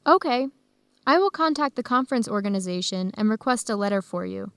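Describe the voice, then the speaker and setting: A woman's voice: mid-range voice, animated, fairly quick, fairly bright tone, clean tone, no echo. An actress playing a curious, friendly young woman researcher in an educational radio drama. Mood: curious, upbeat.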